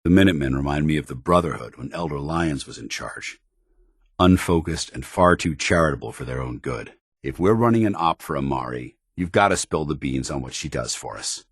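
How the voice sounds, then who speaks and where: Deep-voiced, stern military paladin. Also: male voice, animated, a little fast, husky, dry recording, studio quality, full character voice. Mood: measured, opinionated. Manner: formal, commanding, earnest military delivery. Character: stern, honor-bound male military paladin of a soldierly brotherhood. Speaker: voice actor. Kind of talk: video game companion dialogue.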